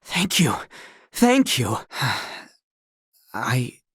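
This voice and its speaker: An earnest, courtly knight, wide-swinging pitch. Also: male voice, huge pitch swings, very slow, a bit bright, breathy edge, no room sound, clean studio sound, exaggerated voice. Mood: earnest, subdued. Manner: formal, archaic, courtly diction. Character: earnest young knight bodyguard, formal, devoted, anxious to serve. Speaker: video game character voice. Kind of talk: scripted fantasy game acting.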